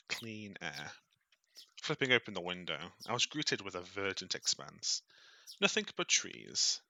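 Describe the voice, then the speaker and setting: A man reading a visual novel aloud on a stream as dramatic, expressive narration, in a theatrical storytelling way. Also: deep voice, no echo.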